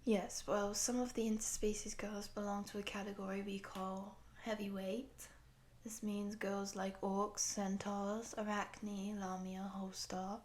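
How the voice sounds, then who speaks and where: A woman playing a polite, earnest program interviewer in a scripted roleplay, speaking softly and breathily in a measured, formal, official manner. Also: medium pitch, level tone, lightly acted. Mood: polite, explanatory. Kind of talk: soft-spoken scripted roleplay audio.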